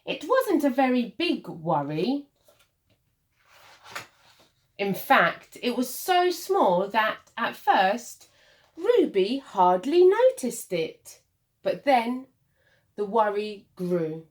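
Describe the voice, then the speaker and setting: A woman reading a children's picture book aloud like a primary school teacher, expressively, with deliberate dramatic pauses and emphasis for young listeners. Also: a little high, big pitch swings.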